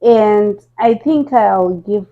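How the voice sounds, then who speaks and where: A woman's voice: mid-range voice, slow pace, phone-quality sound. A young woman from Zimbabwe co-hosting a podcast, chatting casually and affirming often. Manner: affirming, frequent "yes, yes" interjections. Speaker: podcast co-host. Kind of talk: casual chat.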